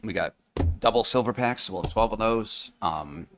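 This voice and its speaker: A young adult man with a hoarse, fading voice, hosting a live card-opening stream in a chatty, upbeat way with lots of fillers. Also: fairly low pitch, moderate pace, music in the background. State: hoarse, losing his voice. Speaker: live card-break host. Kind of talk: livestream sales chat.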